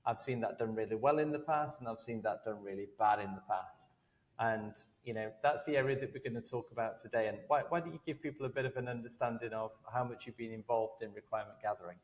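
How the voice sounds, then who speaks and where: A man hosting a podcast interview, friendly and halting, with many ums and uhs. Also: medium pitch, long reverb tail. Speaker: podcast host.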